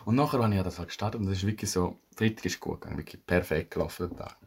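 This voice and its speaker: A man's voice: deep voice, racing, vocal fry, a little mellow, a little hiss or hum. A young man with a Swiss German accent chatting casually as a podcast co-host, rambling, searching for words and laughing often. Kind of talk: casual chat.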